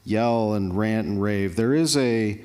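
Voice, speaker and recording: A man's voice: on the low side, slow, a little mellow, clear, resonant tone. A man interviewing a coach onstage at an awards banquet, asking leading questions in a conversational way.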